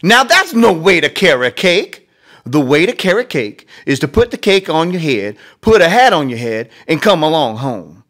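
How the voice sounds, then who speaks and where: A man's voice: fairly high pitch, big pitch swings, moderate pace, a bit bright, pitched above the performer's own voice. A man voicing an exasperated, scolding mother in a storybook, with emphatic delivery. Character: exasperated, scolding mama, loud and shrill.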